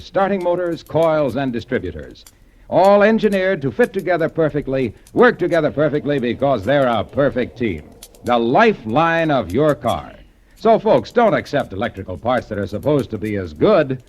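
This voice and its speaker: A man announcing a 1940s radio drama and reading its sponsor ads in a polished, emphatic, salesy way. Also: a little high.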